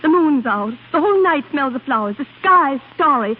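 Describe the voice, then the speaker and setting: A woman's voice: sits high, lively intonation, a little fast. A woman playing a temperamental, bored opera singer who longs for romance, in theatrical 1930s radio style. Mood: yearning, dramatic. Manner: theatrical, petulant, impulsive. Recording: scratchy, narrow-band 1930s radio recording.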